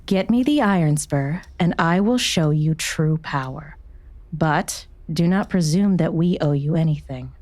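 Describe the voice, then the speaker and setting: A woman's voice: deep voice, measured pace, a little mellow, no room sound. An actress playing a cold, imperious woman doctor who leads a secretive order, speaking with formal, controlled menace in an audiobook drama. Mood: cold, commanding. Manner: formal, controlled, veiled threat.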